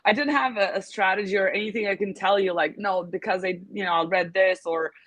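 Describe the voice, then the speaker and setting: Interviewgast: Frau mit serbischem Akzent, heller Klang, viele Füllwörter, trockene Aufnahme. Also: female voice, medium pitch, fairly even intonation, fairly quick, fairly bright tone, no room sound. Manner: many fillers, thinks aloud. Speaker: agency founder, guest. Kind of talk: casual interview talk.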